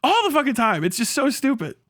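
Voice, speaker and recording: A man's voice: very high voice, wildly swooping pitch, a little fast, fairly bright tone, dry recording, clean studio sound. A man livestreaming a casual rant in a blunt, sarcastic, heavily profane way. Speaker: live streamer.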